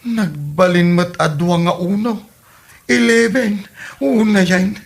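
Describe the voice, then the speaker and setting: A man's voice: sits high, slow pace, creaky, heavily acted. A man acting a dejected, worried husband who lost an election, in a lamenting comedy radio drama style.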